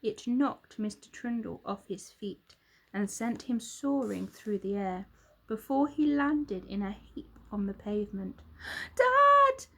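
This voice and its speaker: A woman narrating a children's storybook in a dramatic, expressive way. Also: medium pitch, unhurried, gravelly, rough recording. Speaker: storybook reader, teacher.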